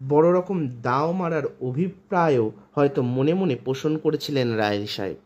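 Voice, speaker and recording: A woman reading a literary short story aloud in an expressive storytelling style. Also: low-pitched, normal speed, dull audio. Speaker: story reader.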